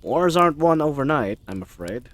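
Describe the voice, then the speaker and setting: A man's voice: a little high, sing-song, moderate pace, a bit bright, no room sound. A man playing a bumbling, kind-hearted adventuring knight in a roleplay audio drama, rambling to the listener in a hesitant, awkwardly earnest way. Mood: concerned, earnest.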